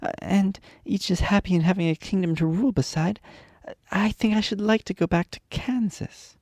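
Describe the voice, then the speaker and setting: An earnest young girl far from home, voiced by a man who lifts his voice well above its usual pitch. She speaks plainly and sincerely in a close, dry storybook reading. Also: normal speed, a little mellow, no echo. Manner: raised girlish pitch, earnest.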